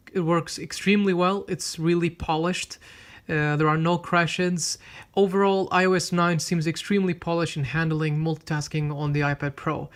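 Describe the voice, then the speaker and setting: A man whose first language is Swedish, reviewing a tablet in a casual, unhurried way with frequent fillers and restarts while demonstrating it. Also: fairly high pitch. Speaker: tech reviewer. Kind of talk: casual product review with live demo.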